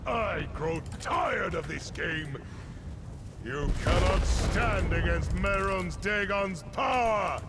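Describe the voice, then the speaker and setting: A man's voice: high-pitched, lively intonation, slow pace, noisy background, exaggerated voice. A man voicing a grandiose, fanatical villain in a fantasy video game, theatrical, commanding and threatening. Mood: enraged. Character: grandiose male villain, fanatical servant of dark master. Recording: loud battle and machinery ambience behind.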